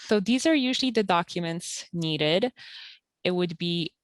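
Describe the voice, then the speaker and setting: A woman who works at a community health center, calmly explaining eligibility rules and documents step by step with frequent fillers. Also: lowish voice, a little slow, no echo. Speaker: health center benefits counselor. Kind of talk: informational interview.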